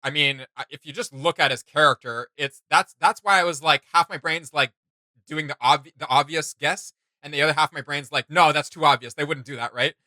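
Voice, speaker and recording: Bright, enthusiastic man reacting casually. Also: on the high side, fairly quick, no room sound. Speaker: reaction video host.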